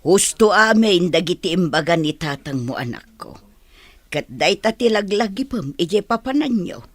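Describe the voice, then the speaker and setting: A woman playing a doting older mother in an Ilocano radio drama, with a husky voice and Ilocano accent. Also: fairly low pitch, lively intonation, moderate pace, no room sound. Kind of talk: radio comedy-drama acting.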